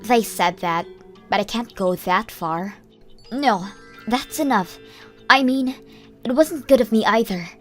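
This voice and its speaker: A woman's voice: on the high side, measured pace, pauses often. A woman reading scripted first-person story narration for a comic dub. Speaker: narrator and voice actor in a manga dub. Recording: music bed under the voice. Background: background music.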